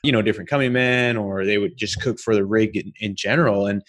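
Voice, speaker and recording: A man hosting an industry podcast, talking in a relaxed, casual way and saying "man" and "you know" often. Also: lowish voice, quick pace. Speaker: podcast host. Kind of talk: casual interview chat with read ad.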